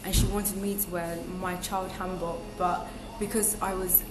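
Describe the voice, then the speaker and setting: A woman's voice: lowish voice, little pitch movement, normal speed, background noise. Chatty woman in an echoey room. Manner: reflective and chatty, with many ums and restarts. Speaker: guest. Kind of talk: casual interview chat. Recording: echoey room with music behind.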